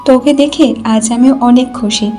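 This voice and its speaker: A woman's voice: on the high side, steady tone, unhurried, mellow, soft background music, lightly acted. A woman voicing a worried, loving elder sister in a cartoon story. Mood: tender, relieved.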